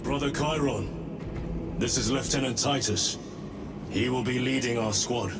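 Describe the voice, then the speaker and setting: A man's voice: medium pitch, measured pace, noisy background, natural voice. A man playing a proud, formal sergeant in a video game, speaking in a stiff, respectful way. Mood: formal, guarded. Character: formal, proud male Space Marine sergeant. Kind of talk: video game cutscene acting. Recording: music playing behind.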